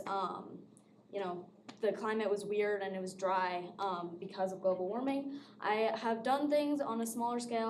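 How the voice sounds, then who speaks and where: A teenage girl from Colorado pitches a business idea in an even, mid-range voice. She speaks conversationally with many fillers. A faint background hiss runs underneath. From Durango, Colorado. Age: teens. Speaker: student presenter. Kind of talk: pitch presentation.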